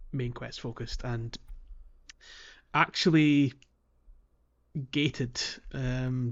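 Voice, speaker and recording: A man with a mid-range voice talks casually as a podcast co-host about a video game. He feels his way through sentences, hesitant and thinking aloud. The sound is a little dull and lo-fi. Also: medium pitch.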